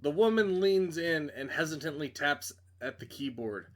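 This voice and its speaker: Higher-pitched man doing a game playthrough. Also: lively intonation, moderate pace. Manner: reads narration dramatically, stumbles and breaks off for blunt asides. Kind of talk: let's play, reading story text aloud with casual commentary.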